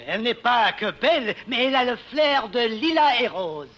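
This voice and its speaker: A man's voice: extremely high pitch, big pitch swings, fairly quick, fairly bright tone, full character voice. An actor playing an effusive, flowery Frenchman in 1950s radio comedy. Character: effusive Frenchman paying flowery compliments and insults.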